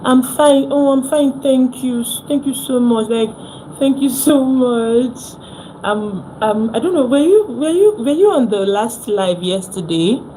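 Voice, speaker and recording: A woman's voice: fairly high pitch, animated, measured pace, dark tone, slight background noise, low-quality recording. A young Nigerian woman with a Nigerian accent, hosting a casual phone live stream, chatting with viewers and repeating herself.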